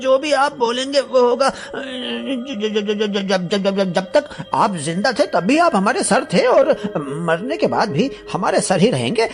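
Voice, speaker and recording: A man voicing a timid, fawning scientist in an animated story, nervous and prone to stammering. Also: very high-pitched, takes it slowly, gravelly, hardly any pauses, soft background music, exaggerated voice. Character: timid, fawning male scientist eager to please. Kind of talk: animated Hindi story voice acting.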